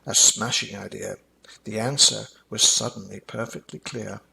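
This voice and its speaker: Gravelly older man reading a rhyming story. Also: fairly low pitch, normal speed, a little hiss or hum, poor audio. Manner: expressive, dramatic. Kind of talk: scripted rhyming children's story narration.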